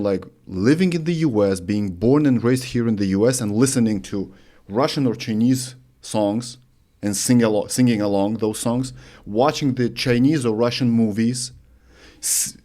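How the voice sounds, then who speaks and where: A man from Lithuania, hosting an interview in earnest, slightly halting English. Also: medium pitch, dark tone. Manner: earnest, slightly halting, non-native phrasing. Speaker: host. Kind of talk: interview questions.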